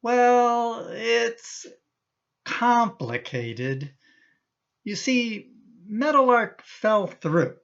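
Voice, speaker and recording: Guy playing a placating middle-aged dad in a radio play, hesitant, slow, slightly muffled sound. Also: very high-pitched, very animated, gravelly, fairly bright tone, full character voice. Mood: sheepish. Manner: hesitant, cajoling. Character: sheepish, placating husband and father, evasive.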